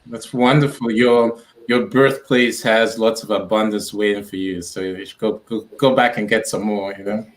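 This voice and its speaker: A man with a low voice, speaking as a guest over a video call. He talks in a thoughtful, searching way and circles back over his words as he builds each idea. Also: steady tone, moderate pace, hardly any pauses. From Nigeria. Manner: thoughtful, repeats words while building a point. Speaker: entrepreneur guest. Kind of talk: casual interview chat.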